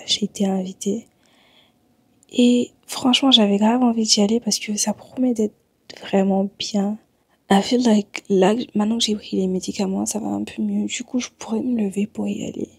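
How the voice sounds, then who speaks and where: A woman from Senegal vlogging at home while sick and tired, talking in a low-key, weary way. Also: level tone, moderate pace, vocal fry, darkish tone, slightly rough, dry recording. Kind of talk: casual vlog talk. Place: at home in Montreal.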